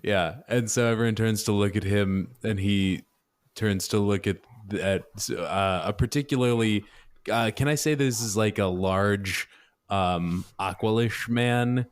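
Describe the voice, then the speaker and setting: A man's voice: fairly low pitch, measured pace, smooth. A man playing a tabletop game, telling his character's moves casually and hesitantly as he pieces ideas together. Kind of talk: casual improvised storytelling.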